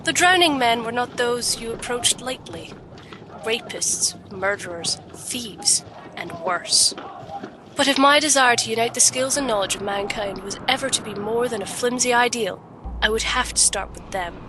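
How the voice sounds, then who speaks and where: An actress playing an earnest, determined alchemist adventurer in a fantasy audio drama, speaking in a measured, serious way. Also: female voice, on the high side, noisy background, close to an everyday voice. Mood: grave narration. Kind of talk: audio drama narration and dialogue. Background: music.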